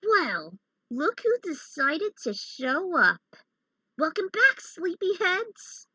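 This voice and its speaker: A woman voicing excitable young heroes from an adventure fan story, exclamatory and dramatic. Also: very high voice, takes it slowly, no room sound, voice pushed up, full character voice. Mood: teasing. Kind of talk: amateur character acting in storytelling.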